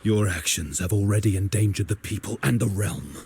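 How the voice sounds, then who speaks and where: Solemn, low-voiced magistrate. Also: male voice, creaky, a little mellow, a little hiss or hum. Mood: stern, restrained. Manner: formal, measured, solemn. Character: stern, dutiful male magistrate, formal and restrained. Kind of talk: scripted video game voice acting.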